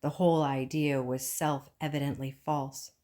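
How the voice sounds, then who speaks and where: Low-voiced woman reading an audiobook. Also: little pitch movement, moderate pace, warm tone. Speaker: audiobook reader. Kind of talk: scripted narration, reading a book aloud.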